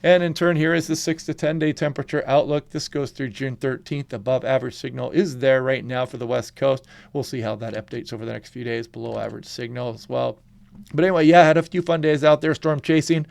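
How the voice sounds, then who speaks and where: A man's voice: mid-range voice, fairly quick, creaky. A man hosting a weather channel, walking casually through forecast maps while congested from a head cold. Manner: relaxed, conversational, frequent "you know" and "kinda".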